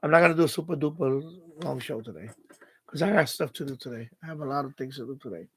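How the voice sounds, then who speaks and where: A man's voice: medium pitch, quick pace, breathy edge. A man hosting a live stream in casual, rambling, unfiltered chat with his viewers. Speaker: livestream host.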